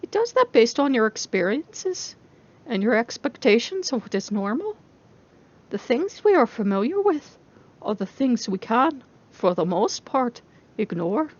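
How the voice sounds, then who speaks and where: A woman's voice: fairly high pitch, very animated, a little slow, darkish tone, lo-fi sound. A woman voicing an intense female robotics professor who lectures her class in a didactic, emphatic way, as in an audiobook. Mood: instructive.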